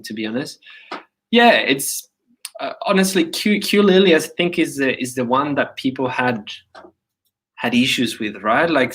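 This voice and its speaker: A man's voice: mid-range voice, fairly bright tone, low-quality recording. A man in his thirties from Chile, with a Chilean Spanish accent gone partly Australian, hosting a casual livestream and rambling with many fillers and restarts. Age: 30s. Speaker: livestream host.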